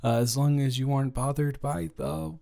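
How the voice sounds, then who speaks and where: Man acting as a gentle, stammering young male roommate. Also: mid-range voice, fairly even intonation, normal speed, gravelly, lightly acted. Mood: friendly, rambling. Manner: hesitant, stammering, reassuring. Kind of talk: improvised intimate boyfriend roleplay audio.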